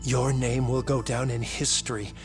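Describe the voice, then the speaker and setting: Male researcher played by an actor: grave, formal, coldly zealous, mid-pitched, game drama with music underneath. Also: little pitch movement, close to an everyday voice. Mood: insistent, cold. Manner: measured, formal, insistent. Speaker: medical researcher. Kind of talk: dramatic video game cutscene acting.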